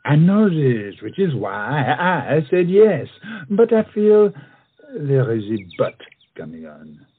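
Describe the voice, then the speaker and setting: A man's voice: on the high side, very animated, slow, husky, narrow, tinny audio, heavily acted. An actor playing a proud, witty, self-conscious French swordsman-poet in a comic audio play, with florid, dramatic phrasing. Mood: proud, teasing. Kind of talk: theatrical comedy audio-play acting.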